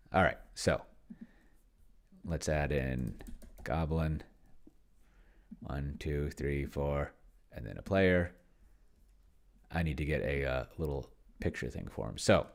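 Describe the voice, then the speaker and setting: Male game commentator, notably low-pitched, casual and rambling, talking himself through each decision. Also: gravelly. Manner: rambling, musing to himself as he works. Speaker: solo tabletop role-player and host. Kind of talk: casual think-aloud gameplay commentary.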